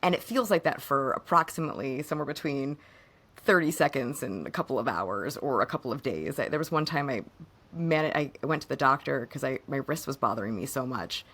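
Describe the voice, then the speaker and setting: A hoarse woman co-hosting a podcast, chatting casually and haltingly about personal matters, her voice fading a bit. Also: extremely low pitch, lively intonation, fairly bright tone. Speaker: podcast co-host.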